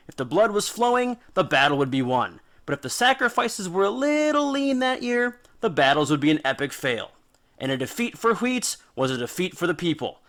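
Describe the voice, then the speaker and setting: Male storyteller host, slightly high voice, colloquial and dramatic scripted narration with punchy emphasis. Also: fairly bright tone. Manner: emphatic, informal, dramatic phrasing. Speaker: host and storyteller of a mythology series.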